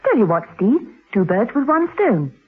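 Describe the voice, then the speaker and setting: A poised woman spy in an old radio serial. Her voice swoops with breezy animation and has a husky edge. The sound is tinny and narrow. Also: fairly high pitch, sing-song, normal speed, full character voice. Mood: bright, breezy. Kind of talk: vintage radio drama acting. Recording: tinny, band-limited vintage radio recording.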